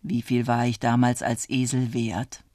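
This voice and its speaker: Storybook judge, played by a narrator: wise, amused, kindly, soft and unhurried, with dry sound. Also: medium pitch, lively intonation, no room sound. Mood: calm, amused. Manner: soft, reassuring, measured.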